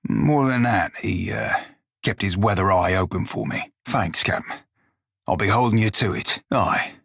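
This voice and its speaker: Game pirate shipmate: rough, husky, close dry sound, plain-spoken, wry and salty-tongued. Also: male voice, fairly low pitch, unhurried, fairly bright tone, no room sound, heavily acted. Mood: guarded, sincere. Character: gruff, foul-mouthed furry blue male pirate shipmate. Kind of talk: video game character dialogue.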